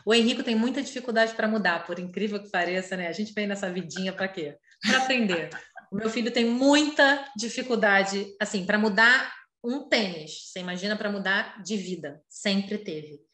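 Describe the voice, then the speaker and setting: A woman's voice: medium pitch, moderate pace, pushed and bright, echoey room. A middle-aged Brazilian woman telling personal stories openly and expressively in a casual conversation. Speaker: interview guest.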